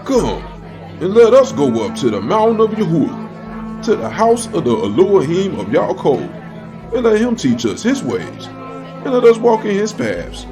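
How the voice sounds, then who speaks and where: A man's voice: high voice, huge pitch swings, moderate pace. A man playing an eager pilgrim who calls out and exhorts others in a dramatized audio Bible. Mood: joyful exhortation. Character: eager pilgrim calling peoples to worship. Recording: music and crowd behind the voice.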